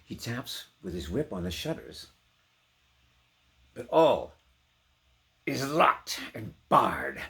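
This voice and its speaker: Intensely dramatic man reciting, wildly varied pitch. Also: sing-song, slow, vocal fry, pauses often. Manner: theatrical, intense, swinging from hushed to shouting. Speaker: poetry performer.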